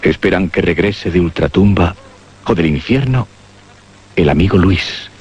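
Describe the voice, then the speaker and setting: A man giving a dramatic, scripted radio narration of a horror story, with suspenseful pauses. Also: low voice, animated, a little mellow, breathy edge. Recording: muffled radio drama recording. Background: prominent music.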